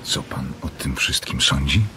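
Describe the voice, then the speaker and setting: An actor playing a calm, probing doctor in a radio play, questioning in a measured, deliberate way. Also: male voice, extremely low pitch, slight background noise, heavily acted. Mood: probing, intent. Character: calm, probing male doctor, quietly persuasive. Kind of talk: Polish radio play acting.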